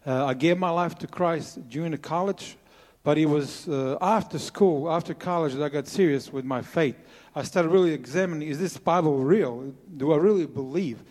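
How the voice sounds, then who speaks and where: A man's voice: on the high side, moderate pace, darkish tone. Croatian-accented man giving testimony in a hall. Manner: earnest, plain-spoken with frequent uh fillers. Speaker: missionary speaking at church. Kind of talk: personal testimony to a congregation. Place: church sanctuary.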